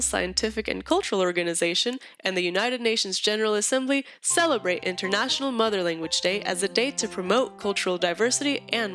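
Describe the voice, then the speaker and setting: A young woman, a student host, reading a scripted narration in a clear, steady way. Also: mid-range voice. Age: young adult. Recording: voice-over with music behind.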